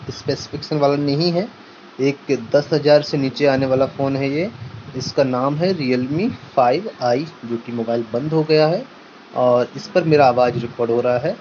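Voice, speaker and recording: A man's voice: mid-range voice, darkish tone, lo-fi sound. A man in a casual product-test talk to viewers, explaining step by step and repeating his points. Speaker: tech reviewer. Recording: noisy amateur recording with ambient background noise.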